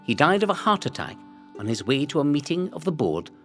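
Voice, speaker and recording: Animated male documentary narrator over music. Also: fairly high pitch. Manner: measured, formal, dramatic phrasing. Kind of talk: scripted historical documentary narration.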